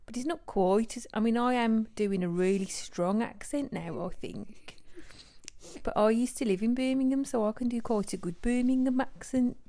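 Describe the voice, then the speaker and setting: A woman hosting a casual family podcast, encouraging and quick to laugh. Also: fairly high pitch, mellow. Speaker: podcast host and parent.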